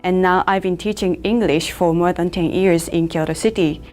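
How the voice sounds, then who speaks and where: A woman from Japan in her thirties, an English teacher, narrating a class presentation in a measured, explanatory way. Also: fairly low pitch, fairly even intonation, over music.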